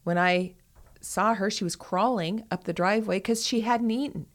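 A woman's voice: mid-range voice, moderate pace. A woman co-hosting a podcast in casual conversation, stressing points by repeating words. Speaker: podcast co-host.

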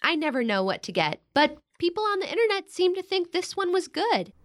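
A high, very expressive young American woman, as a tomboyish sister in a modern audio drama. She is blunt, teasing and loyal, with casual, natural delivery. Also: brisk. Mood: reassuring, confiding. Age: young adult. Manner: casual, playful, frank.

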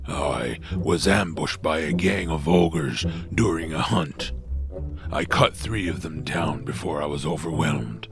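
A man's voice: a bass voice, a little slow. A man playing a grim, stoic orc warrior in an audio drama, terse and solemn. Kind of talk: audio drama character acting. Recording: music behind the voice.

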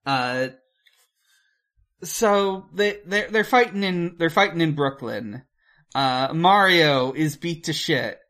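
A podcast co-host chatting casually about a film, halting and hesitant, with dry humour. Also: medium pitch, animated, fairly bright tone.

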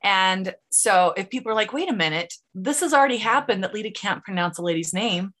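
Bright-voiced bubbly woman host with Utah accent. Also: mid-range voice, animated, a little fast. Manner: bubbly, laughs often, chatty. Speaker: podcast host. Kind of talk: casual interview chat.

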